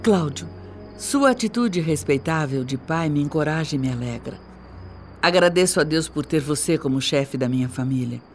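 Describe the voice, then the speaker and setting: A man with a Brazilian accent playing a calculating wife and mother in a radio drama, speaking in a measured, persuasive, formal way. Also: fairly high pitch, expressive, music in the background. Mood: smooth, calculating. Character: calculating wife and mother, scheming while sounding civil.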